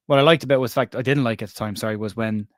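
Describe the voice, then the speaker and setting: Fast-talking Irish man hosting a stream. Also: mid-range voice, no room sound, studio quality. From Ireland. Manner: rambling, chatty, self-interrupting. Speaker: podcast host. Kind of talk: casual football chat on a live stream. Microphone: remote stream call.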